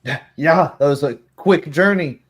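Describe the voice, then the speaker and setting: A man's voice: a little high, normal speed, voice pushed up, natural voice. A man voicing a friendly, impressed fisherman calling out in a tabletop game.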